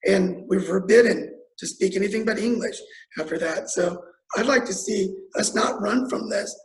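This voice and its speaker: Candidate, man, native Italian speaker, high voice, personal storytelling, roomy sound. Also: moderate pace. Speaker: mayoral candidate. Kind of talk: forum answer over video call. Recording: echoey room on a video call.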